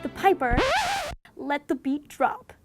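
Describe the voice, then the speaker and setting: A woman with a high voice narrates a fairy tale dramatically. Loud music plays prominently behind her storytelling. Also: slow pace.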